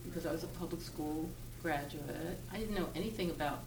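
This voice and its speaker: Older Southern woman with a Virginia accent, low voice, reflective storyteller, miked from a distance in a roomy, noisy space. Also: vocal fry. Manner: reflective, frequent "uh" pauses, laughs easily. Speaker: interviewee. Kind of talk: oral history interview.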